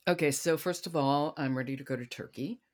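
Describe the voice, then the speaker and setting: A woman's voice: sits low, a little fast. A woman hosting a podcast, chatting casually about books with her guest. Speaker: podcast host. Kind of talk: casual book chat.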